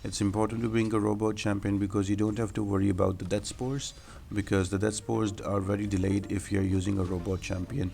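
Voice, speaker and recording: A man's voice: fairly low pitch, level tone, brisk, gravelly, mellow, slight background noise. A man giving game walkthrough commentary in an explanatory, matter-of-fact manner, as the host of a guide.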